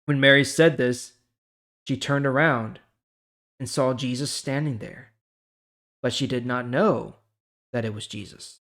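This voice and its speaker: A man hosting a Bible reading series, speaking conversationally with dramatic pauses and emphasis as he reads and comments. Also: mid-range voice, steady tone, a bit bright. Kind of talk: casual devotional reading and commentary.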